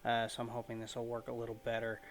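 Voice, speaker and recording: A man giving casual, low-key let's play commentary at home, keeping his volume down. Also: completely flat delivery, fast. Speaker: gaming video host. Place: room at home.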